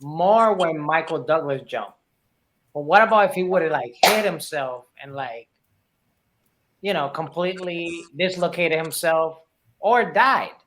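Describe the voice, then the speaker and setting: A man with a slightly high voice speaks as a film review panelist. He is casual and colloquial, posing questions to the group as he talks. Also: expressive, unhurried, fairly bright tone, a little hiss or hum.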